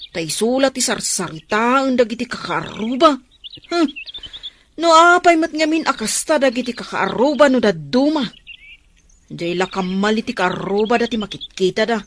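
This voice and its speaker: A woman's voice: fairly high pitch, a little hiss or hum. A woman playing a frank, concerned friend in an audio drama, chatty and reproachful. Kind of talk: Ilocano audio drama dialogue.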